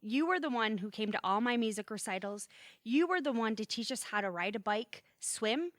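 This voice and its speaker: A woman's voice: a little high, lively intonation, normal speed, a bit bright, no echo, clean studio sound. A woman playing an exasperated, sarcastic young twin sister in an audio drama, speaking bluntly. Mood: earnest. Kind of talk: audio theatre acting.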